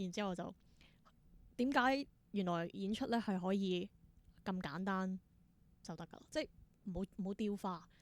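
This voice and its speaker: A woman with a Hong Kong Cantonese accent telling stories casually as an interview guest, thinking aloud in short, reflective bursts. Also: medium pitch. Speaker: interview guest, independent theatre performer.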